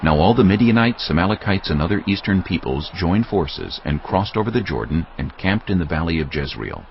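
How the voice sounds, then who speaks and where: A male narrator with a deep, creaky voice and highly animated delivery. He reads scripture as dramatic storytelling over music. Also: fairly quick, slight background noise. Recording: muffled audio under music.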